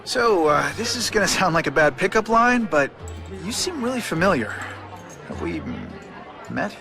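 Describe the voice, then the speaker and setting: Young american superhero from a video game, quippy n kinda awkward, high lively voice. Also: male voice, normal speed, vocal fry, music in the background, crowd noise, heavily acted. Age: young adult. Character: wisecracking, nervy young superhero and newspaper photographer.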